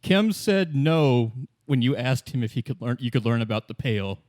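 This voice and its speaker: A man's voice: medium pitch, expressive, moderate pace, a little mellow, no echo, studio quality. A man acting as game master, narrating the game in a casual, colloquial, improvised way. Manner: conversational, colloquial.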